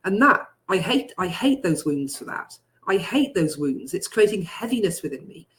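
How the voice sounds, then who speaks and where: A guide leads a healing meditation in a mid-pitched voice. The phrasing is deliberate and repetitive, built from first-person affirmations. Key statements are repeated with emphasis. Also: big pitch swings, a bit bright. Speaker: guided healing session leader.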